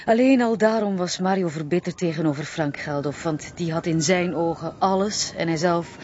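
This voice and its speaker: An actress playing a guarded, composed intelligence agent with a Flemish accent, evasive and slightly condescending. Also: female voice, on the low side, normal speed, a little mellow, a little hiss or hum, lightly acted. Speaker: secret service agent. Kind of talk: television crime drama. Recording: dull lo-fi TV audio with faint ambience.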